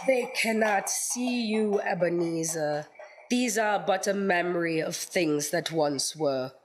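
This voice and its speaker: Woman playing a slow, low-voiced solemn spirit guide. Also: very noisy. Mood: calm, knowing. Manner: measured, formal, grave. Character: solemn, knowing ghostly guide spirit bearing a torch. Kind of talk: theatrical community radio play acting.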